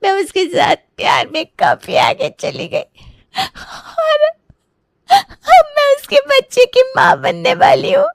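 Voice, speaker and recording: A woman's voice: very high voice, huge pitch swings, slow pace, creaky, no room sound, voice pushed up, exaggerated voice. A woman voicing a naive young woman in her twenties who cries and pleads as she confesses in a family drama. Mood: tearful, remorseful. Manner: pleading, apologetic, wailing. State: crying.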